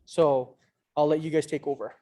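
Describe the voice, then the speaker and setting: A young man with a somewhat high voice introduces an online session. He speaks casually, like a student organiser addressing newcomers. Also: steady tone, moderate pace, mellow. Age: young adult. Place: online video call.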